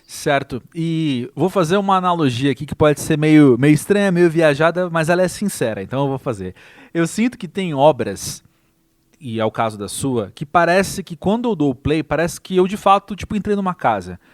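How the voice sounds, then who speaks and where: A man's voice: a little high, lively intonation, normal speed, no room sound, studio quality. A man hosting a music podcast, introducing it and asking a guest questions in an enthusiastic, conversational way. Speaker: podcast host. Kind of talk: podcast interview.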